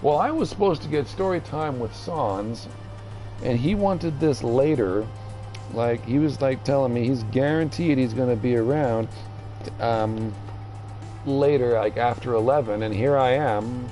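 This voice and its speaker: A man streaming a video game with casual, rambling commentary, haltingly thinking aloud as he reads the menus. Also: medium pitch, dark tone, soft background music.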